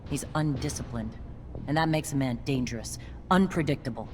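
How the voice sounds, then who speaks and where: An actor playing a wary, stern army soldier in a video game, speaking in a measured, formal manner. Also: male voice, medium pitch, natural voice. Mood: disapproving. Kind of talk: video game dialogue acting. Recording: clear background noise and ambience.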